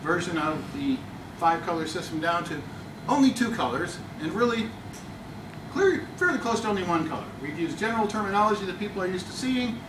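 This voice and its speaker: A man plays a smug government spokesman, dry and condescending, and his voice sits high. He sounds distant in an echoey meeting room, with steady noise underneath. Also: brisk. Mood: mock-official. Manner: dry, mock-official, condescending. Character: pompous male government spokesman, smug and bureaucratic. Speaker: Toastmasters contest speaker. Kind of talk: deadpan comic speech in character as a government official. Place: meeting room before a small audience.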